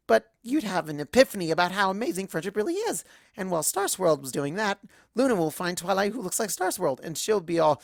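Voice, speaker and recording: A woman voicing an excitable young female cartoon pony, bubbly and breathless, rambling through a first-person story. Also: fairly low pitch, gravelly, dry recording, studio quality. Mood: chatty, excited.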